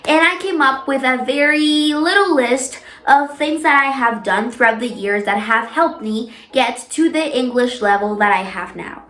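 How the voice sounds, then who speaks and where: Young woman vlogger, native spanish speaker, highish voice, chatting tips to camera, roomy echo. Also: a little slow, hardly any pauses, a bit bright. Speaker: vlogger giving language-learning tips. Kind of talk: casual talk to camera. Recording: echoey room.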